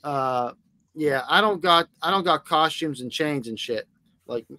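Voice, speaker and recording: A man's voice: a little high, lively intonation, unhurried, pushed and bright, no echo. A middle-aged man in casual podcast chat, blunt and teasing in his manner. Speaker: sports podcast panelist.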